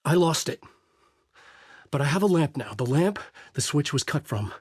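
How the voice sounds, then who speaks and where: An actor playing a haunted, desperate horror writer, narrating in a brooding, intense noir style for a video game. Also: male voice, a little high, animated, normal speed, darkish tone, slightly rough, no room sound, studio quality. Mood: desperate, strained. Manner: brooding, intense, terse delivery. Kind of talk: dramatic first-person noir narration.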